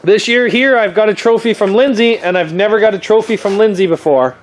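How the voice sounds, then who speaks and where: A young man with a noticeably high voice talks casually. He is stuffy and sniffling from a cold. His speech rambles along, full of easygoing fillers. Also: fairly quick, poor audio. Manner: laid-back, rambling, frequent "uh" fillers. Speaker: demolition derby builder and vlogger. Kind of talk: casual vlog chat. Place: home workshop or garage.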